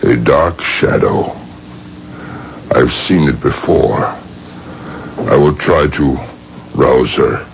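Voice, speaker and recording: An actor playing a revered, kindly wise rabbi with deliberate, solemn delivery in a 1970s radio drama. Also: male voice, extremely low pitch, full character voice. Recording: muffled, noisy old radio broadcast.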